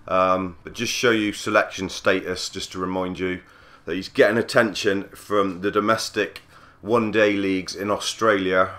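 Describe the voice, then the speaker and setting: A man with a cold, husky and croaky, giving easygoing play-by-play commentary on a cricket video game. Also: fairly low pitch, bright, forceful tone. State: hoarse from a cold. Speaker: gaming channel host.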